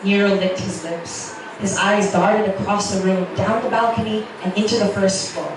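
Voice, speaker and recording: A woman's voice: medium pitch, steady tone, moderate pace. A woman narrating a romance story in the first person as a dramatic literary reading. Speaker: narrator reading a romance novel excerpt aloud. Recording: roomy echo, distant mic, noisy background.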